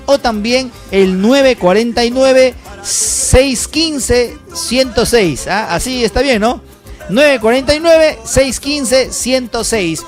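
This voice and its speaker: Male live-stream host: high voice, quick salesy patter, faint music behind. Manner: rapid, upbeat, salesy, many fillers. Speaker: livestream host. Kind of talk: live broadcast shout-outs and sponsor ad.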